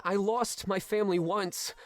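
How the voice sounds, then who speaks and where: Casting: male actor as repentant rogue turned loyal servant, fantasy drama. Slightly high voice, anxious, earnest, humble. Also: fairly even intonation, measured pace. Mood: anxious, pleading. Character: reformed male swindler, remorseful, anxious, devoted servant of the monarch. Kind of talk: fantasy audio drama acting.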